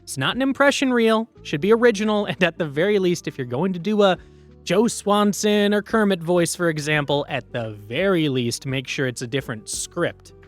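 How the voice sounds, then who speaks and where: A man's voice: high voice, moderate pace, soft background music. A man presenting an instructional workshop in an informal, emphatic, conversational way.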